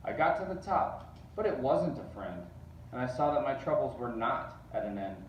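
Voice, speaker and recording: A man reading a rhyming children's story aloud in an expressive, narrating way. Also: medium pitch, moderate pace, a little hiss or hum. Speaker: storybook reader. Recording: roomy, distant, dull sound.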